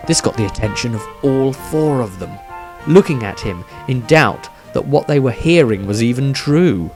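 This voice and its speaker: A man's voice: mid-range voice, animated, normal speed, darkish tone. A man reading his own fantasy story as a dramatic audiobook narration. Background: music.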